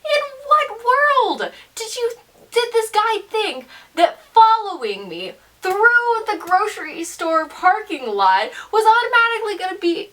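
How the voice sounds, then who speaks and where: Teenage female vlogger, high-pitched, bright forceful tone, casual storytelling, emphatic and slangy, distant mic. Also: a little slow. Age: teens, seventeen. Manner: animated, emphatic, slangy, with mimicked asides.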